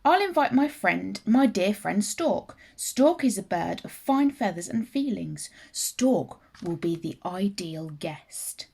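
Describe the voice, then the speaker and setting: A woman's voice: mid-range voice, big pitch swings, slow pace, gravelly, hardly any pauses, a little mellow, slightly rough, exaggerated voice. Woman acting out a sly, greedy storybook fox. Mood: plotting. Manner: scheming, mock-polite, relishing words. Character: sly, greedy, self-satisfied male fox. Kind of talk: children's fable character voice.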